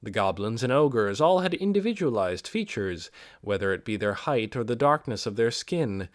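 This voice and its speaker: A man reading a fantasy novel aloud as scripted audiobook narration, with expressive storytelling delivery. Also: mid-range voice, moderate pace, dry recording, studio quality. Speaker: amateur audiobook reader.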